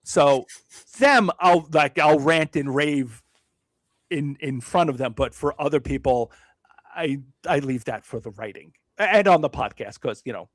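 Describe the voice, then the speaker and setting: Brief: reflective male guest from Brooklyn, slightly higher pitch, dry close sound. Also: animated, dry recording. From Brooklyn, New York. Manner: measured, self-deprecating, reflective; frequent hedging fillers and restarts. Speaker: podcast guest. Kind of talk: casual interview conversation.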